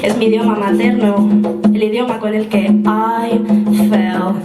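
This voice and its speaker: A young woman in her twenties from Spain, of Moroccan family, performing spoken word poetry in a slow, rhythmic, deliberate way on a live stage. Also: medium pitch, steady tone. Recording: roomy live room, distant mic, loud music. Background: loud instrumental music.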